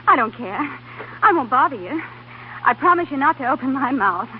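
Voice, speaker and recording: Radio drama role: devoted young wife, high voice, folksy and fretful, very thin vintage broadcast sound with hiss. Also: female voice, animated, normal speed. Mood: timid, pleading. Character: devoted, anxious, plucky young wife of a scientist. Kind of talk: old-time American radio drama acting. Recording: tinny, noisy vintage radio recording.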